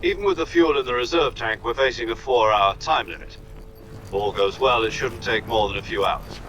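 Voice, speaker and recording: A man voices a composed, middle-aged military commander for a video game. He briefs with measured authority and precise diction at a medium pitch, with music clearly playing behind him. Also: fairly bright tone, lightly acted. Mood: calm, assured. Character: composed middle-aged male army major briefing his agent. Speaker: commanding officer, a major.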